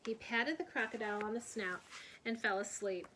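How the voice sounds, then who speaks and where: A woman reading a children's story aloud in an expressive storyteller's manner. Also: fairly high pitch, normal speed, a little hiss or hum. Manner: expressive, animated delivery of dialogue. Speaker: storybook reader. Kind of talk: scripted read-aloud storytelling.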